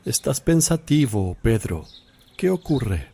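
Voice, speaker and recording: An actor playing a calm, solemn male religious teacher who speaks in deliberate phrases, in a radio drama. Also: medium pitch, gravelly, husky, full character voice. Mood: calm, reassuring. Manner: slow, deliberate, preaching phrasing.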